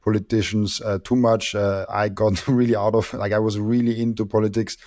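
A man's voice: fairly low pitch, level tone, brisk, darkish tone, dry recording. A young man hosting an interview from a room at home, speaking into a close desk mic. He hesitates, stammers and gives small laughs. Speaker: host. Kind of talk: casual interview questions. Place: room at home with colored lights. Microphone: large desk mic close up.

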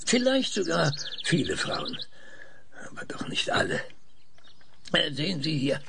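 Actor as halting, pedantic older male scientist, creaky. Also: on the high side, big pitch swings, background noise, heavily acted. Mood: pedantic, hesitant. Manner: hesitant stammering, pedantic, insistent. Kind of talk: German audio drama acting.

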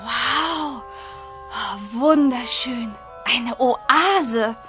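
A woman playing a curious, lively young girl witch in a children's radio play, inquisitive and full of exclamations. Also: on the high side, drawn-out words, faint music behind.

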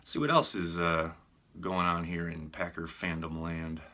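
A man's voice: low-pitched, fairly even intonation, unhurried, sharp tone. A middle-aged American man chatting casually about sports to camera as a reporter hosting a segment. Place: small furnished room at home, indoors.

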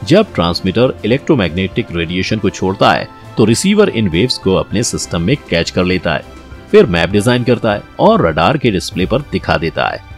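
A man's voice: low voice, big pitch swings, moderate pace. A man reading a scripted documentary-style explainer, talking straight to the listener in an explanatory way. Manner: explanatory, addresses the listener directly. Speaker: narrator of an explainer video. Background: background music.

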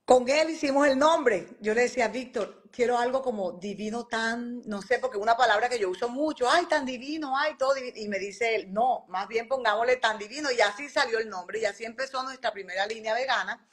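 Lively woman in her forties. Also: fairly high pitch, a little fast, hardly any pauses. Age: 40s. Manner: animated storytelling, acts out quoted dialogue. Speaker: guest doctor and skincare entrepreneur. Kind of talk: casual live interview. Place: home room by a bright window. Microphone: phone on video call.

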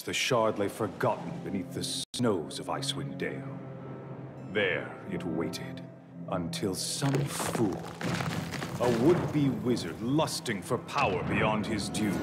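A man's voice: deep voice, expressive, takes it slowly. A man giving grave, scripted narration for a fantasy game's story cutscenes. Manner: solemn, grave. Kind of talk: epic scripted game narration. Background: loud orchestral music.

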